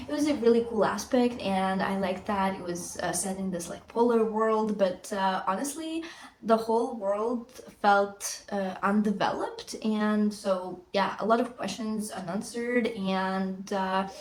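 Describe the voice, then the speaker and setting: A woman's voice: medium pitch, unhurried, echoey room, slight background noise. A woman whose first language is Russian, reviewing books in a casual, rambling vlog with lots of fillers. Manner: chatty, rambling, many fillers. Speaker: book vlogger.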